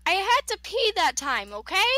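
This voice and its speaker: A woman from East Tennessee with a Southern accent, chatting excitably and playfully as a player in a tabletop game. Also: extremely high pitch, normal speed. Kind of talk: casual chat during a tabletop game.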